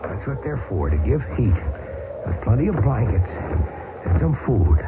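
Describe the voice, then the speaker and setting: A wisecracking pilot on an old radio play. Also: male voice, medium pitch, very animated, measured pace. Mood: wry. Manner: wry, joking under strain. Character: wisecracking male American bush pilot, easygoing. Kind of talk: 1970s radio drama acting. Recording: tinny lo-fi old radio broadcast, hissy.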